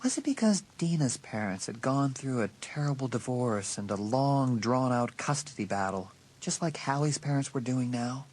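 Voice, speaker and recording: A man's voice: medium pitch, animated, moderate pace, hardly any pauses, a little mellow. A man giving a dramatic, scripted narration of an audiobook story.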